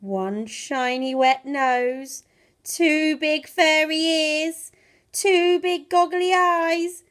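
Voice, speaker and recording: A woman reading a children's storybook aloud in an expressive, animated way, drawing out her words and playing up the sound effects. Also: high-pitched, very slow. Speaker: primary school teacher reading a bedtime story.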